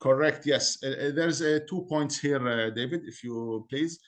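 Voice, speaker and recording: A man from Syria with an Arabic accent, a community guest speaking earnestly in halting English and repeating words as he searches for them. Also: mid-range voice. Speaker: guest, Assyrian community member. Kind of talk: interview on a call-in podcast.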